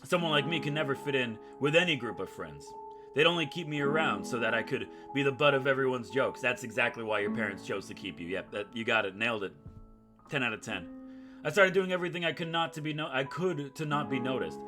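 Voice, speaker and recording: A man with a mid-pitched voice hosts a game playthrough, with guitar music clearly behind him. He reads the on-screen text aloud, then cuts in with wry, sarcastic and self-deprecating jokes. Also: quick pace. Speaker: gaming YouTuber, host of a playthrough. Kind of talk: let's play commentary with read-aloud game text and joking asides. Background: acoustic guitar game music.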